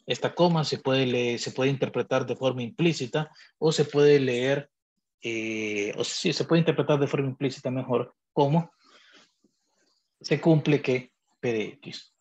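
A man teaching math in a slow, deliberate way, stopping now and then to rephrase a point. His voice is slightly husky, set at an ordinary middle pitch, and recorded close on a computer mic. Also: a little mellow, muffled recording. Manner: measured, deliberate, thinks aloud with pauses and self-corrections. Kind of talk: explaining logic notation on a digital whiteboard.

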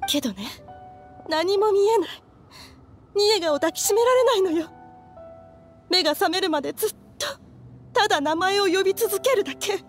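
An actress playing a grieving, guilt-ridden mother in an anime game, halting, pleading and sorrowful. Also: very high-pitched, soft background music. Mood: anguished grief. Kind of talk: anime game voice acting, emotional drama.

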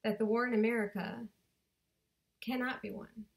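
A woman in her thirties performing her own spoken word poem in a deliberate, rhythmic way, recorded on a webcam in her bedroom. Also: on the high side, steady tone, creaky. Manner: slow, deliberate rhythmic delivery with dramatic pauses. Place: bedroom at home. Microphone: webcam at desk distance.

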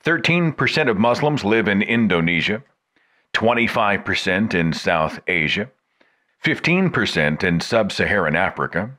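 A man hosting a talk podcast, reading a scripted introduction and then interviewing a caller with emphatic, expressive delivery. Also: fairly low pitch, normal speed. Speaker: podcast host.

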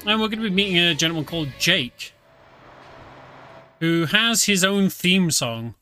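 A man's voice: high voice, lively intonation, moderate pace, pushed and bright. A British man giving casual let's-play commentary as a streamer, chatty and explaining the game with plenty of fillers. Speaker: streamer playing a video game. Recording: game music clearly audible underneath.

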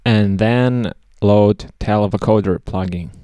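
A man presenting a step-by-step software tutorial over a screen recording. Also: on the low side, slow, clean tone, dry recording.

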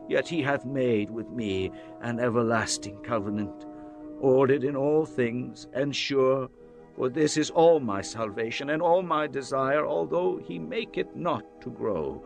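An actor playing an aging, devout biblical king in dramatized scripture, speaking in a deliberate, declamatory way. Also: medium pitch, creaky, soft background music. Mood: solemn, exalted.

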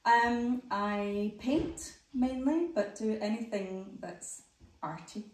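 A woman, explaining a group art project in a thoughtful, unhurried way. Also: mid-range voice. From Scotland. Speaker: project organiser and artist. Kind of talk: casual group chat. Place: dining room in a home. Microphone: far room camera. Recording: roomy and distant.

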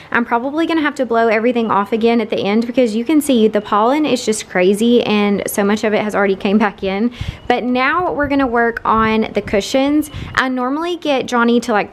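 A young woman, narrating her home vlog in a chatty, rambling way with plenty of fillers. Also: a little high. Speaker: home vlogger. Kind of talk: casual voice-over narration.

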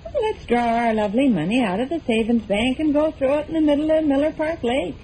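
An actress playing a thrifty, exasperated wife who scolds with folksy sarcasm in a 1940s radio comedy. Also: female voice, sits high, lively intonation, a little fast, dark tone. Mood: sarcastic. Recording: noisy old 1940s radio broadcast.

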